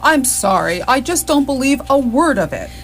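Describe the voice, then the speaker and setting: Woman playing sarcastic, animated space traveller. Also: high voice, normal speed, soft background music. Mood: sceptical. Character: Ford, sceptical, exasperated, sarcastic male space traveller. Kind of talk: stage radio comedy drama.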